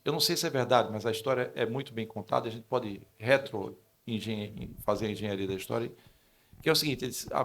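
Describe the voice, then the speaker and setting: A man's voice: medium pitch, vocal fry, fairly bright tone. A Brazilian man speaking as a panellist, talking conversationally in the manner of someone telling an anecdote. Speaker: panel speaker, advertising creative.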